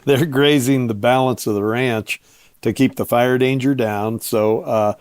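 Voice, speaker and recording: A man explaining things as an interview guest, telling stories in a relaxed, measured, folksy way. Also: mid-range voice, clean tone, no echo, studio quality. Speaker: guest, vineyard owner and grape grower.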